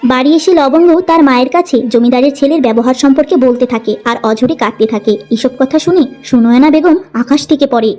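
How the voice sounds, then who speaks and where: A woman's voice: high-pitched, brisk, few pauses, dark tone, faint music behind. A woman reading scripted narration for a moral story as the storyteller.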